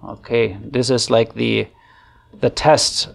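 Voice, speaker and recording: A man in his thirties with a German accent, chatting casually through a screen-share software tutorial from a room at home into a desk microphone, thinking aloud with fillers. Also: mid-range voice, flat delivery, slow, creaky. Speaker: online facilitation trainer. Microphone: desk microphone on arm.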